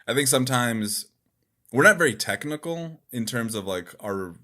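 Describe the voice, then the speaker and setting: A man's voice: medium pitch, lively intonation, moderate pace, bright, forceful tone. A man co-hosting a podcast in loose, rambling, informal chat, with some swearing. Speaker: podcast co-host. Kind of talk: casual gaming podcast chat.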